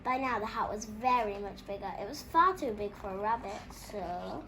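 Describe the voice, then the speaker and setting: A woman reading a children's picture book aloud as an expressive storyteller. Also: mid-range voice, normal speed, slight background noise, low-quality recording.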